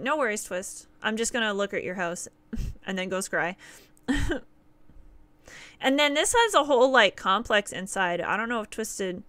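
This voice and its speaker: A woman's voice: mid-range voice, bright, forceful tone, clean tone, no echo. A woman in her thirties from Nova Scotia with a Nova Scotian accent, chatting upbeat with viewers while streaming a game, laughing often. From Nova Scotia, Canada. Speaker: livestreamer. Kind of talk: casual live gameplay chat with viewers. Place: small indoor room.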